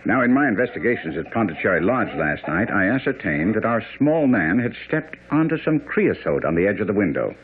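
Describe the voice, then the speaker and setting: An actor playing a brilliant, self-assured detective, precise and condescending, in a radio mystery drama. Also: male voice, lowish voice, big pitch swings, brisk, few pauses. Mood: brisk. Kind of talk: old-time radio drama acting. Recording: muffled, narrow-band radio broadcast.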